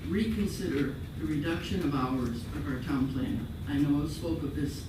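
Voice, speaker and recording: A man's voice: a little high, normal speed, background noise. A man giving a prepared public comment at a town meeting, formal and firm. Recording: echoey room, far mic.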